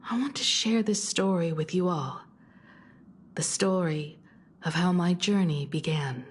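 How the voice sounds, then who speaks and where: A woman playing a composed, caring starship navigator in a video game, speaking in a gentle, reflective, measured way like a proud mentor. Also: on the low side, slightly rough. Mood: tender, earnest. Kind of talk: video game story dialogue.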